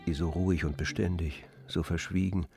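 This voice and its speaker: A man's voice: low-pitched, fairly even intonation, moderate pace. A man narrating a literary radio play in a scripted, storytelling style. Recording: music behind the voice. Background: music.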